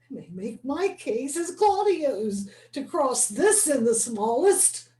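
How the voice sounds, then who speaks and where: A woman playing a dutiful male prison provost in a Shakespeare scene rehearsal, speaking verse in a slow, measured way. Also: high voice, very animated, mellow, full character voice. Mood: earnest. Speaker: acting student. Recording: roomy and distant.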